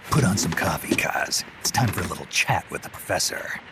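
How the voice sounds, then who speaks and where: An actor playing a gruff, world-weary male mercenary leader in a video game, speaking tersely and dryly. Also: deep voice, lively intonation, fairly quick. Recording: game cutscene with clear background noise.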